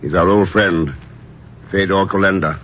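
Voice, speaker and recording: Cool, low-voiced secret agent. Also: male voice, steady tone. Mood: wry, composed. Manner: unhurried, dry, understated. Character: cool, suave, unflappable male secret agent. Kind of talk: 1950s radio drama acting. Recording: muffled, noisy old radio broadcast.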